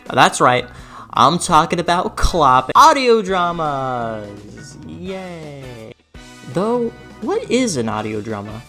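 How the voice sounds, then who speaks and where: A woman's voice: low voice, big pitch swings, takes it slowly, sharp tone. A woman narrating a scripted video essay as host, with a theatrical, sarcastic delivery and exaggerated emphasis. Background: background music.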